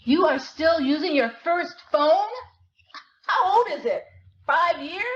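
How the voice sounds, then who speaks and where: A woman playing an excitable, bossy, teasing female friend in a live dramatic book reading. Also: high-pitched, takes it slowly, dull audio. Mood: teasing disbelief.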